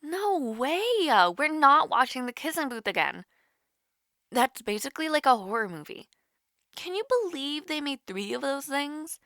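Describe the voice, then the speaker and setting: Female actor as a nervous young student in a romantic audio roleplay: lively pitch, hesitant rambling, very dry close sound. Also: normal speed, no echo, full character voice. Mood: playful, animated. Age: young adult. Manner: awkward, rambling, hesitant with many fillers. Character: nervous, rambling young woman student on a call with her online crush. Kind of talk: naturalistic romantic audio roleplay, casual one-sided call.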